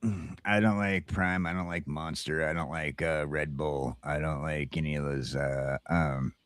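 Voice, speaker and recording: A man with a very low, deep voice hosts a laid-back morning chat. He jokes at his own expense in an informal, conversational way. The sound is dry and close, free of echo. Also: steady tone, measured pace, fairly bright tone, smooth. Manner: joking, self-deprecating. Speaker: talk show host. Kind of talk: casual morning chat show.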